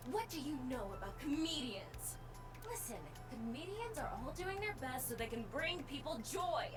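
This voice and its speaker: Video game AI partner, female, teasing and quick to anger, highish lively voice. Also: far from the mic. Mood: indignant. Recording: game music behind the voice.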